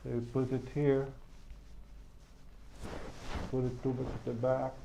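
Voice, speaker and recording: A man's voice: mid-range voice, monotone, unhurried, creaky, warm tone, rough recording. A man talking casually and thinking aloud as he works through a hands-on product unboxing and installation. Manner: thinking aloud while working. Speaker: product reviewer. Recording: faint background noise.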